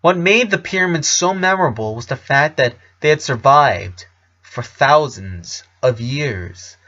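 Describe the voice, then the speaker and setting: A man reading scripted fiction aloud as an expressive narrator. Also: mid-range voice, unhurried, highs cut off.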